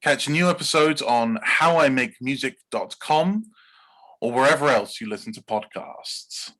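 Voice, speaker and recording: A man's voice: medium pitch, lively intonation, unhurried, fairly bright tone, low-quality recording. A man from Bedfordshire with an English accent, reading scripted podcast narration as a guest composer. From Bedfordshire, England.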